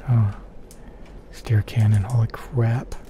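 A man giving soft-spoken ASMR gameplay commentary in a murmur, repeating pet phrases, close to the microphone. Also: fairly low pitch, flat delivery, slow. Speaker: video game streamer. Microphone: close stereo microphone. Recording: faint keyboard typing noise.

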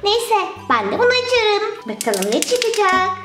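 A woman presents toy play for children in a very high, childlike pitch. She exclaims in a playful sing-song way. The sound is roomy and echoing, with music underneath. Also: very animated, unhurried.

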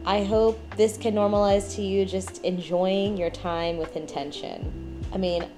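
A woman's voice: medium pitch, fairly even intonation, unhurried, mellow, faint music behind. A woman in her late twenties talking to the camera at home in a casual, reflective vlog, with many "like" fillers. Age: 20s. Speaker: vlogger. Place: at home indoors.

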